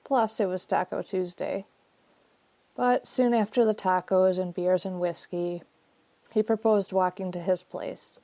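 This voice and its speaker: A woman's voice: fairly low pitch, fairly even intonation, unhurried, mellow, no room sound, muffled recording. A woman reading her own personal essay as scripted first-person narration.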